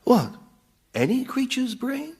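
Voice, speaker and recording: An actor playing an officious demon guide to Hell in a radio comedy, with chirpy patter and easily hurt pride. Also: male voice, very high voice, huge pitch swings, slow pace, a little mellow, soft background music, full character voice. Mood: curious. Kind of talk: radio sitcom acting.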